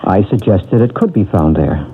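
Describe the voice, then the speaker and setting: An actor playing a calm, soothing past-life regression expert in a 1970s radio drama. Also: male voice, low-pitched, a little hiss or hum. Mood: reassuring. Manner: soothing, measured and hypnotic. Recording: tinny old radio broadcast.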